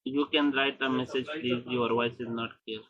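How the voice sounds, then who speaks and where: Brisk male moderator, mid-pitched, dull call audio with faint noise. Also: flat delivery. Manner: brisk, directive, short instructions. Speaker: session moderator. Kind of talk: online Q&A session.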